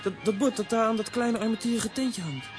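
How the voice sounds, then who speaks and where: Man playing a high-voiced earnest boy. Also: brisk, music in the background, lightly acted. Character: earnest young boy hero, admiring and sympathetic. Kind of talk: children's radio play acting.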